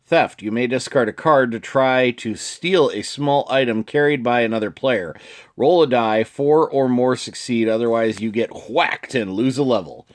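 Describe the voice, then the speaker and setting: A man with a sniffly, allergy-affected voice hosts casually, reading card text aloud with informal asides and some throat-clearing. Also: medium pitch, normal speed. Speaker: video host.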